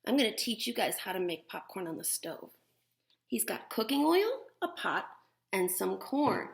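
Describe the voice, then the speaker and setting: A woman's voice: mid-range voice, big pitch swings, moderate pace, creaky. A woman teacher reading a picture book aloud to young children, expressively and with simple explanations. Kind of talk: animated picture-book read-aloud with demonstration asides.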